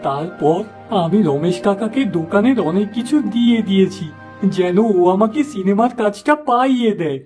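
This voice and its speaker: A man's voice: high voice, expressive, moderate pace, a little mellow, faint music behind. A man voicing a gullible, starry-eyed young village shop lad in a cartoon, eager and earnest. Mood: excited, insistent. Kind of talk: Bengali cartoon fable voice acting.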